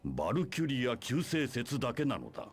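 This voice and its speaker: A man's voice: mid-range voice, wildly swooping pitch, quick pace, slightly rough, soft background music. A man playing an imperious, scheming church leader in a video game, speaking in pompous, archaic formal phrases. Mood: grandiose, scornful. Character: imperious, scheming male church leader preaching his doctrine. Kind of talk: Japanese video game voice acting.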